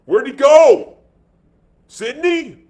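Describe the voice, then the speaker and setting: A man's voice: fairly high pitch, sing-song, moderate pace. A man voicing a tough American pilot in an adventure story, wary and plain-spoken, with breezy slang. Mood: puzzled.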